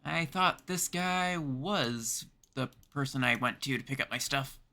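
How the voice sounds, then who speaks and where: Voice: medium pitch, unhurried, pushed and bright. A streamer from Canada giving casual live video game commentary, chatting and thinking aloud in short remarks.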